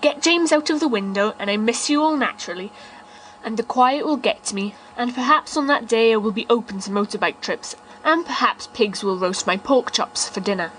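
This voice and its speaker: A woman reading a fan fiction letter aloud in a dry, measured, deadpan way. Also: on the high side, a little hiss or hum, rough recording. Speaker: podfic reader.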